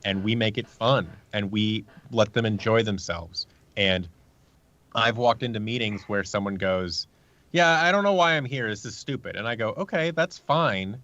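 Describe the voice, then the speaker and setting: A man's voice: lowish voice, animated, normal speed, fairly bright tone, no room sound. A man giving casual, earnest advice through anecdotes as a podcast guest, acting out the remarks he quotes. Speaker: podcast guest, actor and producer. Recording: dull lo-fi audio.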